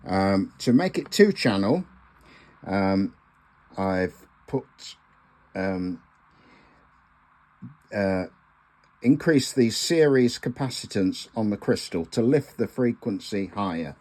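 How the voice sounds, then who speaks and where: Slow, low-voiced older man. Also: sing-song, a little mellow. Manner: halting, deliberate, with frequent pauses and hesitations. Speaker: amateur radio hobbyist presenting a video. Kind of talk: informal hands-on demonstration talk.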